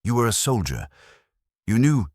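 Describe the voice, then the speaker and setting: A man's voice: medium pitch, very animated, normal speed, a little mellow, dry recording, clean studio sound, voice pushed up. A man voicing a guarded, coldly dutiful female warrior nun in a story narration, speaking in terse, formal, controlled phrases. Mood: strained, resisting.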